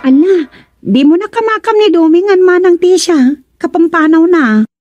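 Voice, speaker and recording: Mellow, gossipy Ilocano villager. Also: female voice, very high-pitched, lively intonation, normal speed, no room sound, dull audio, faint music behind. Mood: helpful. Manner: exclamatory, gossipy. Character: chatty, gossiping village woman, sympathetic busybody. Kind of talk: radio drama comedy acting.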